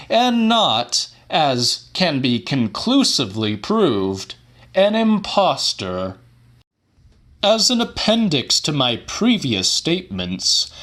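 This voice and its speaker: A man playing a pompous, grandiose scientist-showman lecturing a theater audience in a formal, theatrical, deliberate way, as in a dramatic audiobook. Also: on the high side, fairly bright tone, heavily acted. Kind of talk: dramatic audiobook reading of a monologue.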